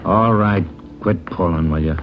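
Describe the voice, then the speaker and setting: An actor playing a cocky, whining, belligerent thief in a 1940s radio crime drama, griping and threatening in slang. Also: male voice, low-pitched, unhurried. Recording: muffled old radio recording with music.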